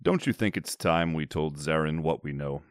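A man's voice: rumbling low, moderate pace, smooth, no room sound, clean studio sound. A man playing a loyal, dryly teasing lieutenant in audio drama dialogue. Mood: steady, wry.

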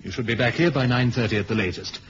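A man's voice: medium pitch, fast talker, a bit bright, close to an everyday voice. A male actor playing an exasperated British intelligence chief in a 1970s radio drama, officious and clipped, with a British accent. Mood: brisk. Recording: dull lo-fi old radio recording, hiss.

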